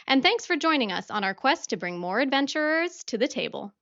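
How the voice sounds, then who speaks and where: A woman speaks as a podcast host in a friendly interview style. Her voice sits somewhat high and has a bright, forward tone. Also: big pitch swings, brisk, muffled recording.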